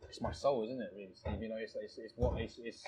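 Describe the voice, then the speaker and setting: A man's voice: fairly low pitch, fairly even intonation, fast talker, dark tone, slight background noise. A man telling stories casually in conversation, rambling and repeating phrases, with frequent "you know". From born in Thailand, lives in London. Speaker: restaurant owner, interview guest.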